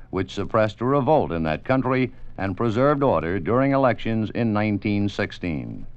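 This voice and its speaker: A man's voice: on the low side, no room sound. A man reading a formal, declamatory scripted announcement in 1950s radio style. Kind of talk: scripted 1950s radio public-service narration. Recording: dull old radio recording.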